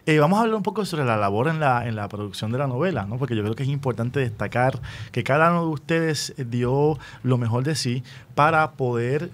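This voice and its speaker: A man's voice: medium pitch, animated, brisk, slight background noise. A man with a Puerto Rican accent, hosting a conversational radio interview and often checking in with little tag questions.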